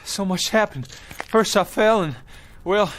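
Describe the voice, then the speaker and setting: A bewildered pioneer man, his voice fairly high and halting. He repeats words and uses old-fashioned rustic phrasing, desperate to be understood over a noisy sound-effect backdrop. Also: lively intonation, a bit bright, heavily acted. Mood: overwhelmed, confused. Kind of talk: radio drama acting.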